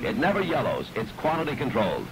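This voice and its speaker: A man's voice: mid-range voice, wildly swooping pitch, fast talker, music in the background. A man working as a morning disc jockey, wisecracking through 1960s top 40 patter on a scratchy, distorted AM radio recording. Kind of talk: 1960s top 40 radio patter, reading time, traffic and ads.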